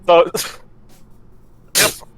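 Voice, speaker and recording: A man's voice: drawn-out words, fairly bright tone, slight background noise. A man playing a tabletop game with friends, giggly and impulsive. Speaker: player. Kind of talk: casual tabletop play.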